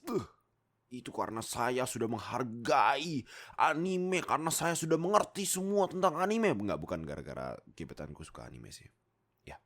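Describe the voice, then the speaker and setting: A man's voice: mid-range voice, sing-song, moderate pace, a bit bright. A young man with an Indonesian accent telling personal stories in a casual, rambling, self-deprecating way into a desktop microphone. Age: young adult. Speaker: YouTube content creator and amateur voice actor. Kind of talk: casual chatty personal storytelling. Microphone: Fifine K690 desktop USB microphone.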